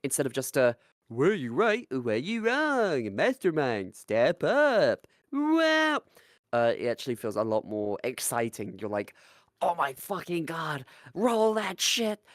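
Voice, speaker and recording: Animated, sweary podcast co-host with a dry sound. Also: medium pitch, a little slow, no room sound. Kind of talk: casual chat.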